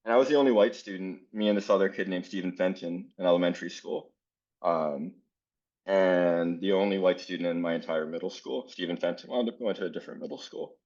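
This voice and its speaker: A man's voice: deep voice, brisk, darkish tone, lo-fi sound. A man from South Florida leading an educator workshop in a casual, earnest way, with many "um" fillers. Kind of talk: conference workshop presentation.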